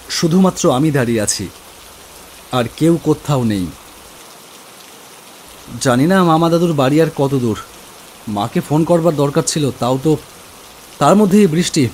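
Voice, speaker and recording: A man narrates a cartoon horror tale in the first person. His voice is on the high side and his delivery is scripted and dramatic. Faint night insects and rain lie behind it. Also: darkish tone, slight background noise. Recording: faint crickets and rain ambience.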